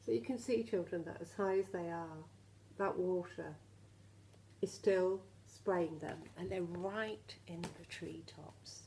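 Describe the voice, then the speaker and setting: Low-pitched woman reading a storybook to children. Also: takes it slowly, a little hiss or hum, poor audio. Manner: animated, questioning, addresses children directly. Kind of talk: expressive children's storybook reading with asides to the listeners.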